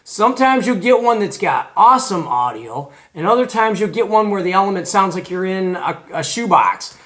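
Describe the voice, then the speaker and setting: Male host, American accent, high-pitched voice, animated and colloquial, casual vlog presenting. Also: moderate pace. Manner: animated, colloquial, retells conversations. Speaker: host of a CB radio show.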